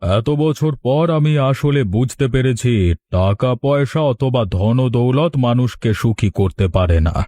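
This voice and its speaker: A man's voice: mid-range voice, animated, a little slow, mellow, dry recording, lightly acted. A man voicing an aging, weary, wise landlord father in a moral cartoon, speaking solemnly. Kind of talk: Bengali moral cartoon voice acting.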